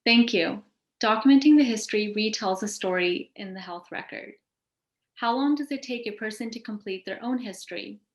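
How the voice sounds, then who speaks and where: A woman with a mid-pitched voice hosts a panel and reads out scripted questions. The sound is roomy, with an audible echo around her words. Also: normal speed. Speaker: host asking questions of a panel. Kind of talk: scripted interview questions, read out.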